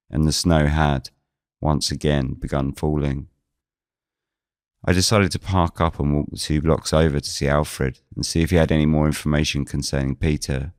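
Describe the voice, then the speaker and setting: Man, extremely low pitch, dry recording, scripted mystery narration, measured, formal phrasing. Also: dry recording. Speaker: narrator and lead of an audio drama.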